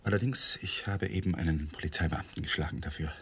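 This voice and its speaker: Husky, defiant young lawyer. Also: male voice, deep voice, fast, a bit bright. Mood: tense, resigned. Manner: defiant, insistent. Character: indignant, defiant young lawyer protesting his innocence. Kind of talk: 1980s German radio drama acting.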